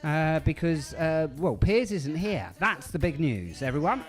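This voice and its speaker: Upbeat radio host. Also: male voice, on the high side, very animated, unhurried, soft background music. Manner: enthusiastic, piles on superlatives and repeats. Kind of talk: lively live radio banter.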